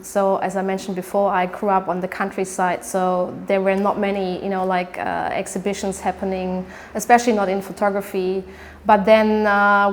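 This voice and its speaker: A German woman speaking English with a German accent, describing her photographic work in halting speech with many fillers and restarts. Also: medium pitch. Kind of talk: artist interview monologue.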